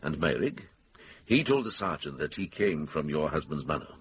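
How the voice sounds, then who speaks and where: An actor playing a shrewd, brisk middle-aged monk investigator in a radio drama, measured and direct. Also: male voice, a bass voice, very animated, husky, exaggerated voice. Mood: probing. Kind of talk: British radio drama acting.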